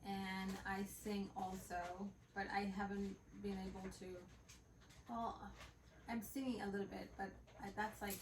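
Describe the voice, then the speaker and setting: A woman's voice: mid-range voice, flat delivery, a little slow, recorded from a distance. A woman who grew up between Bangladesh and the United States, co-hosting a casual podcast chat and rambling with many fillers and restarts. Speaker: podcast co-host. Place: home room. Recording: roomy, off-mic with faint background noise.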